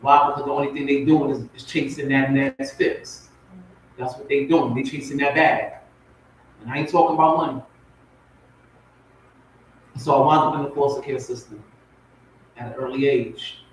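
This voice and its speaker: A man's voice: medium pitch, steady tone, normal speed, pauses often. A man's voice comes through very echoey and set back from the mic. He speaks as a spoken word poet giving informal personal testimony, repeating phrases for emphasis, with some noise on the recording. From raised in Compton, California and Portmore, Jamaica. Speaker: spoken word poet, guest on a talk show. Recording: very echoey, distant, noisy audio.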